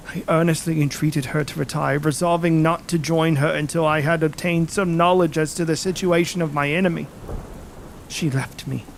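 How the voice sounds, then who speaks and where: A man playing an anguished, haunted young husband, narrating a gothic tale in a theatrical, halting, emotive way. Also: high voice, a bit bright. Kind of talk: dramatic first-person audiobook narration.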